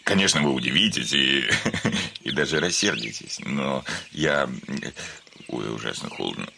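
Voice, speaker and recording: An actor playing a nervous, stubborn landowner suitor in a radio comedy, stammering and fussily polite. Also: male voice, extremely low pitch, animated, measured pace, vocal fry, pushed and bright, slight background noise, full character voice. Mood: nervous, formal. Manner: stammering, hesitant, ceremoniously formal. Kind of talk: theatrical radio comedy acting.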